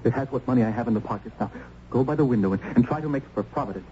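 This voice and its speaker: Colonial parson, male actor, self-sacrificing and urgent, old radio play style, muffled with clear background noise. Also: medium pitch, very fast, mellow, lightly acted. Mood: urgent, frightened. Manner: earnest, urgent, archaic formal speech. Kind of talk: old-time radio drama acting.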